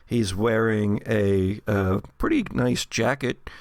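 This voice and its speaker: Slow, low-voiced man with gravelly creak. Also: dry recording, clean studio sound. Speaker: host and game master of an actual play podcast. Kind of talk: tabletop game narration mixed with casual chat.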